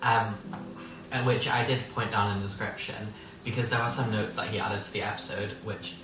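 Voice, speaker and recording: A sixteen-year-old man hosts a casual podcast chat at a table, joking, rambling and laughing as he goes. His voice sits back from the microphone in an echoey room. A steady background noise runs underneath. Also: lowish voice, fairly quick. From England. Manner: playful, laughs often, rambling. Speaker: podcast host. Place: indoors at a table. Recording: roomy sound from a distance with background noise.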